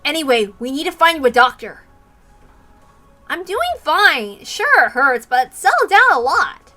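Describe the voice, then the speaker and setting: A woman's voice: sits high, big pitch swings, a little slow, sharp tone, soft background music, voice pushed up, heavily acted. A woman voicing anxious young characters from an anime visual novel in urgent, earnest dialogue. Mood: worried, urgent.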